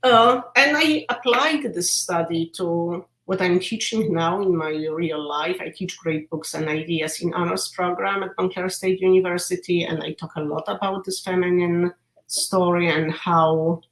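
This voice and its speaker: Polish-accented woman, low voice, roomy call. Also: measured pace, fairly bright tone. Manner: thoughtful, explanatory, with small hesitations. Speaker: literature professor. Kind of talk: video call conversation. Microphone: video call. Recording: roomy video call audio.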